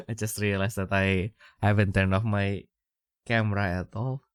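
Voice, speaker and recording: Chatty man from Indonesia, low voice. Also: steady tone, takes it slowly, clean tone, dry recording, clean studio sound. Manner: rambling, many fillers, frequent laughter. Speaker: podcast co-host. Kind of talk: casual chat.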